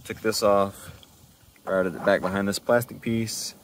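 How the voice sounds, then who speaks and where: Male DIY presenter, low pitch, informal explanatory delivery, lightly noisy recording around a car. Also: unhurried. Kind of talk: casual hands-on walkthrough.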